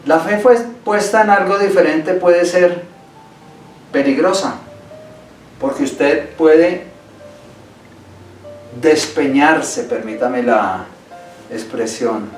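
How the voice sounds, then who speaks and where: A man preaching slowly and deliberately from the pulpit, his voice sitting a little high for a man. He hammers home key phrases by repeating them. The sound rings through an echoey church hall, picked up from some distance, with a faint guitar playing underneath. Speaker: pastor. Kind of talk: Sunday sermon. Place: church sanctuary.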